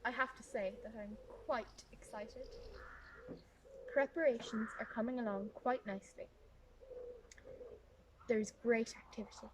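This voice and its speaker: Woman playing a prim, high-voiced young noblewoman. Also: steady tone, measured pace, pauses often, slight background noise, close to an everyday voice, poor audio. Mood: excited, proud. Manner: prim, formal, old-fashioned phrasing. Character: privileged seventeen-year-old young noblewoman, wistful, playful, bored. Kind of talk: dramatic historical monologue.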